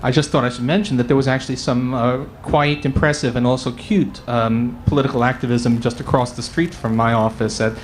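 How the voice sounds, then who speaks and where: A middle-aged man on a panel, wry and quick, with many ums, speaking into a table mic in a large tent. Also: mid-range voice, fairly even intonation, slight background noise. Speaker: panelist economist. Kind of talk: panel discussion answers. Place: large tent at an outdoor event. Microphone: table mic on a stand.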